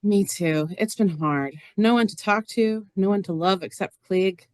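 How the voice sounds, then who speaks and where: A woman's voice: mid-range voice, moderate pace, darkish tone, no room sound, clean studio sound, natural voice. A woman playing a plain-spoken, lonely, literal-minded farm neighbor in a stage reading, earnest and matter-of-fact. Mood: wistful. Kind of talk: stage reader's theater acting.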